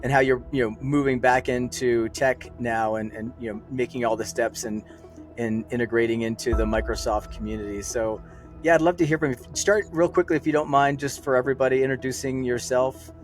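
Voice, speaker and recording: A man's voice: medium pitch, steady tone, brisk, soft background music. A man hosting an interview podcast, easygoing and loose, with many fillers. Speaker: podcast host. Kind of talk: interview, casual chat.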